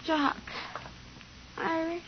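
An actress playing a groggy, drugged wife in a 1950 radio drama, faint, dazed and childlike. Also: female voice, very high-pitched, level tone, exaggerated voice. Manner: slow, dazed, childlike murmuring. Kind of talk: 1950s radio drama acting. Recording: muffled, noisy vintage radio recording.

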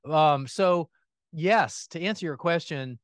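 A man's voice: on the high side, slow, dry recording, studio quality. A man hosting a gardening television show, talking in an enthusiastic, expansive way in a casual interview.

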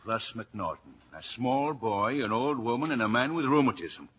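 An actor playing a curt, sceptical police inspector in a radio drama, clipped and dry. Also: male voice, mid-range voice, expressive, normal speed, noisy background, natural voice. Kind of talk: 1950s radio drama acting. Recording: dull old radio recording.

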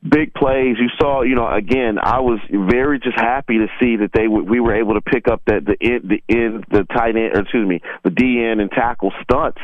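A man with a mid-pitched voice breaks down football in a casual, rambling way, circling back to rephrase his points. He sounds like he is on a thin, phone-like line with no room echo. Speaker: football analyst on a sports show. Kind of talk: casual conversational sports commentary. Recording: dry, band-limited phone-like line.

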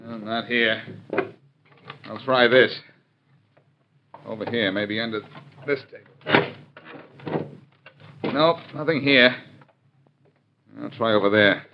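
An actor playing a bold, quick-witted sleuth in 1940s radio crime drama style, brisk, clipped and wisecracking. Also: male voice, fairly low pitch. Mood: wry, searching. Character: bold, quick-witted amateur sleuth man, breezy and wry. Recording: muffled, noisy 1940s radio recording.

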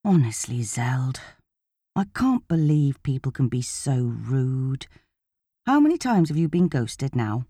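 A sympathetic male friend of about forty, slightly uneasy as a woman audiobook narrator plays him. The voice is mellow and low-key. He talks familiarly, and the recording is close and dry. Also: very animated, a little slow, no room sound, exaggerated voice. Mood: indignant, sympathetic.

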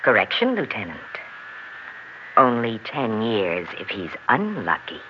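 Bitterly sarcastic wronged wife, swooping intonation. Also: female voice, rumbling low, huge pitch swings, measured pace, loud music behind the voice, full character voice. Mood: bitter triumph. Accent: American. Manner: mocking, self-deprecating irony. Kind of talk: 1960s radio drama acting. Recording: dull, hissy 1960s radio recording.

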